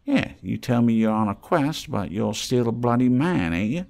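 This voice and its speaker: A man voicing an eccentric old tramp trader in an audiobook, wheedling in excitable, salty, rambling patter. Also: medium pitch, normal speed, vocal fry, voice pushed up. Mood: teasing, chummy.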